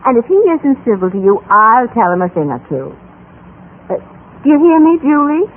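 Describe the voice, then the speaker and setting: A narrow, tinny old radio drama recording. a motherly, fussy housewife who is kindly and trusting. Her voice is husky and very lively, rising and falling with each exclamation. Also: fairly high pitch, moderate pace. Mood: reassuring.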